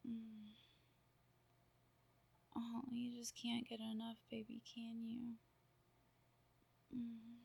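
Woman, fairly high and very even pitch, unhurried, soft cooing whisper for intimate roleplay. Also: creaky, slightly rough. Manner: soft cooing, half-whispered, drawn-out murmurs. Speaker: roleplay performer.

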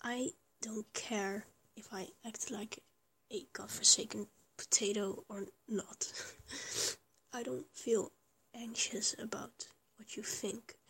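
A woman's voice: a little high, steady tone, slow pace, fairly bright tone, heavily acted, low-quality recording. A woman voicing a small, affectionate young lover who whispers, stammers and rambles between giggles. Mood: tender. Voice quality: breathy whisper. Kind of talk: whispered intimate roleplay audio.

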